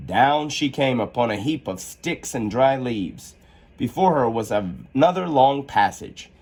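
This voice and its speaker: A man reading a children's storybook aloud as an expressive storyteller. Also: medium pitch, husky.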